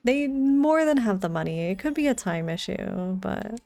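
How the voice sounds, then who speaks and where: A woman game streamer chats casually in a soft, mellow tone. Her voice is close and dry, like an informal livestream commentary with an easygoing flow. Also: on the high side, expressive, moderate pace, no room sound.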